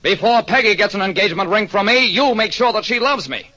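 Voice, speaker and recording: A man's voice: high voice, expressive, fairly quick, sharp tone, pitched above the performer's own voice, exaggerated voice. A man playing a hot-tempered, bossy, lovesick client in an old radio detective drama, with emphatic, commanding delivery. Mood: bossy, impatient. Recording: dull, noisy old radio recording.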